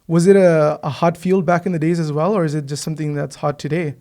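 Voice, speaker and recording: A man's voice: fairly high pitch, steady tone, fast, mellow. A man hosting a podcast, asking curious, eager questions with lots of fillers. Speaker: podcast host. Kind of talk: casual podcast interview. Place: mosque studio.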